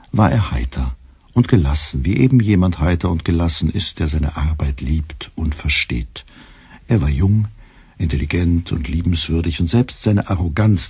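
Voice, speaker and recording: A man narrating a radio drama in a calm, measured storytelling voice. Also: extremely low pitch, husky, dry recording. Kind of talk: scripted literary narration.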